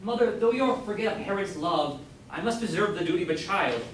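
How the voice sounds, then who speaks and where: A man's voice: high-pitched, lively intonation, brisk. A young man acting an earnest, dutiful, rejected son, reciting formal lines in a staged reading of a Jacobean comedy. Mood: earnest pleading. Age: young adult. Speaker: student actor. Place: indoor room, far from the mic. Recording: very echoey room, distant, faint noise.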